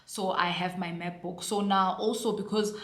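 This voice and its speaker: A South African woman in her thirties talks casually and earnestly to camera, often pausing on "um" and restarting her sentences. Her voice sits a little low. The recording has a noticeable room echo. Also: steady tone. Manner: chatty, earnest, with frequent fillers and restarts. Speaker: homeschool mom reviewing a curriculum.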